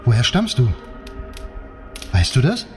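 A courteous man who grows uneasy and hesitant as he fails to place a face. Music runs quietly beneath his lines. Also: mid-range voice, wildly swooping pitch, measured pace. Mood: puzzled. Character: uneasy older gentleman named Milton. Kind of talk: radio drama acting.

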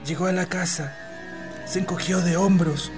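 An actor with a Chilean accent playing a humble, grieving old servant in a radio drama, solemn and deferential. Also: male voice, high voice, fairly even intonation, normal speed, music in the background, lightly acted. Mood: grieving, accusing.

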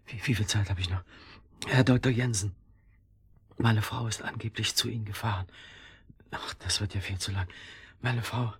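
Husky, failing poisoned husband. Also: male voice, fairly low pitch, no room sound, exaggerated voice. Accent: standard German. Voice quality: husky, gravelly, breaking into whispers. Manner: halting, groaning, gasping delivery. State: in pain, failing strength. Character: poisoned husband, desperate, weakening and in pain. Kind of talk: German radio drama acting.

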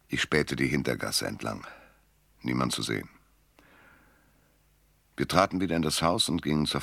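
Very deep, gravelly hard-boiled detective. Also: male voice, a bit bright, no room sound, full character voice. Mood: dry, watchful. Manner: laconic, dry, sardonic. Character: hard-boiled, world-weary male American private detective, narrating. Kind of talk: German radio drama, first-person noir narration.

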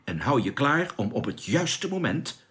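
An actor playing an anxious but commanding spaceship captain in a science fiction radio drama, giving urgent, clipped orders. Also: male voice, medium pitch, very animated, unhurried, heavy background noise. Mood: tense, commanding.

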